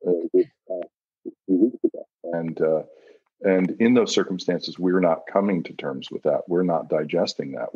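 American guy at a film q&a, really low raspy voice, lots of careful pauses. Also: a little mellow, muffled recording. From United States. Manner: hesitant, deliberate word choice. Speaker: panel guest. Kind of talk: reflective film Q&A talk.

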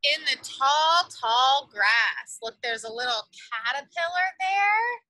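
A woman's voice: high-pitched, expressive, slow pace, bright, forceful tone, low-quality recording. A young woman reads a picture book aloud to small children outdoors, sing-song and carefully enunciated, with emphasis on the rhyming words. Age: young adult. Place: outdoors in a leafy backyard.

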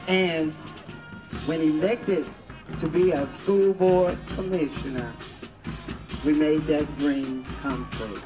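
A woman's voice: deep voice, slow pace, long pauses. An older woman school board president speaking emphatically into a handheld mic on a football field. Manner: proud, emphatic. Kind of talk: outdoor speech and interview. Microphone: handheld microphone. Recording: outdoor event with crowd and music. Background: music and crowd.

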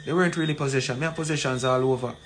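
A man in his forties with a Jamaican accent gives an emphatic, deliberate address to camera, repeating phrases for weight. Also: mid-range voice, fairly even intonation, a bit bright, faint music behind. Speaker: community leader. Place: small plain room indoors. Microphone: phone at arm's length. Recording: noisy room, ambient background sound.

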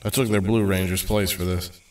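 A man's voice: low-pitched, steady tone, brisk, natural voice. Man as young hero, casual, plainspoken, determined, audio drama, slightly distant mic.

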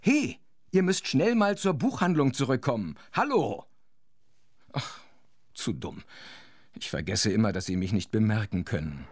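A man playing an involved, expressive storyteller who narrates a children's audio drama. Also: on the low side, a little slow, long pauses, no echo, studio quality. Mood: urgent, rueful.

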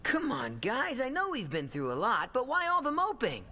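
A young anime drifter who talks bluntly and pleadingly. His delivery is frustrated and varied in pitch. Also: huge pitch swings, moderate pace, heavy background noise, exaggerated voice.

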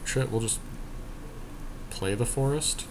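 A man's voice: medium pitch, takes it slowly. A man giving casual, joking gameplay commentary with some swearing, as a podcast co-host. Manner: joking, profane trash talk. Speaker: podcast co-host playing online card game. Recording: clear background noise.

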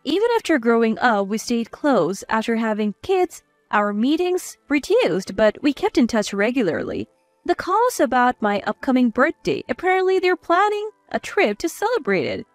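A woman's voice: a little high, sing-song, moderate pace, no echo, faint music behind. A woman reading a scripted first-person story as its narrator.

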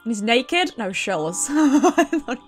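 A woman's voice: on the high side, very animated, slow, faint music behind. A British woman, a streamer giving chatty, giggly commentary while playing a video game in a casual livestream.

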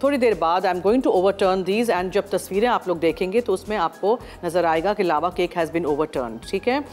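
A woman's voice: fairly low pitch, expressive, normal speed, a little mellow, soft background music. A middle-aged woman with a Pakistani accent hosts a TV cooking show from a studio kitchen, mixing Urdu with English as she cooks. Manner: chatty, switches freely into English.

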